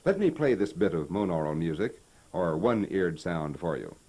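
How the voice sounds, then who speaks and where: An actor playing a patient, knowledgeable American hi-fi expert in a scripted, folksy instructional conversation from 1960. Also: male voice, low voice, sing-song, moderate pace, heavy background noise. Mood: assured. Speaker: presenter explaining stereo equipment. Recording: vintage 1960 promotional tape recording.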